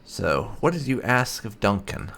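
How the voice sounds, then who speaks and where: A man hosting a gaming playthrough, reading his character's dialogue aloud in a deliberate, theatrical way. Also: on the low side, sing-song, gravelly, fairly bright tone, slight background noise. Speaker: gaming channel host, player.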